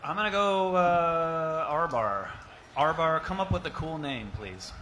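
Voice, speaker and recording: Halting man over noticeable background noise. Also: on the high side, lively intonation, sharp tone. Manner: halting, slow, starts over. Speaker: podcast panelist. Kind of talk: casual podcast chat.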